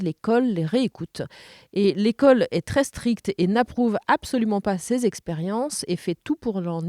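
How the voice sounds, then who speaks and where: A woman's voice: mid-range voice, mellow, no room sound, clean studio sound. A woman podcast host who interviews and presents in a composed, articulate way.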